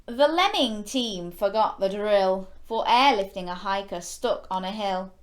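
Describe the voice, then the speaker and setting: A woman's voice: medium pitch, lively intonation, unhurried. A woman hosting a children's story time, reading a picture book expressively with exclamations and playful questions to young listeners. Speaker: children's storyteller and show host.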